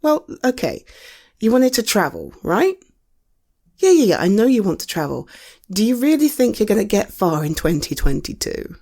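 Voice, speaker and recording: A British woman playing a caring, supportive partner in an intimate conversational audio roleplay, speaking softly with a gentle, hesitant, coaxing manner. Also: mid-range voice, wildly swooping pitch, normal speed. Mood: gently realistic.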